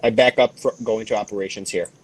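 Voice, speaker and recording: A man's voice: lowish voice, steady tone, quick pace. Blunt, sweary man playing a tabletop game. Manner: blunt, heavily profane, rambling. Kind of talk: casual game chat.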